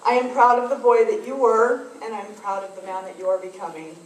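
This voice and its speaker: A woman's voice: medium pitch, flat delivery, slight background noise. Proud middle-aged mother in an echoey hall. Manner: proud, measured, reads a list of praise. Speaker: parent giving a speech. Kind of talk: prepared speech at a school assembly. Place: large school assembly hall. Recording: echoey gym recorded from far off.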